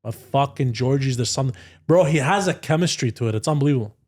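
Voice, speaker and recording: A man's voice: medium pitch, animated, fast talker, a little mellow. A man hosting a podcast in casual chat, telling stories in a brash, profane way. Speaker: podcast host.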